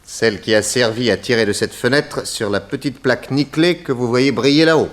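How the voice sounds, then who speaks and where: An actor playing a shrewd, courteous detective, speaking in an assured, formal and articulate way in old French radio drama style. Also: male voice, medium pitch, fairly quick, close to an everyday voice. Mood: formal, commanding.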